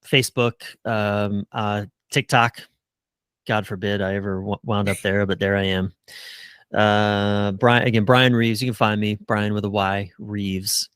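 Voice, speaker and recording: A man's voice: fairly low pitch, steady tone, measured pace, a bit bright, clean tone, no room sound. A middle-aged man giving earnest, casual answers as a relationship coach in a conversational interview. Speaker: relationship coach, podcast guest.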